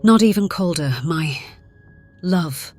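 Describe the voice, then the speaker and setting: A woman playing a centuries-old, conflicted vampire, narrating gravely in a measured, theatrical way. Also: lowish voice, animated, a little mellow. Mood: brooding. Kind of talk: dramatic scripted narration. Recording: dramatic music behind the voice.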